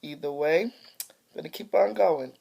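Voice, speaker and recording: A woman's voice: low-pitched, normal speed, vocal fry, dark tone, slightly rough. A middle-aged woman, an artist, chatting casually to camera at home, a little congested from allergies. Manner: chatty, grateful, slightly congested. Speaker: artist and vlogger. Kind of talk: casual home video update. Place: at home by a window. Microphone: phone or webcam camera mic.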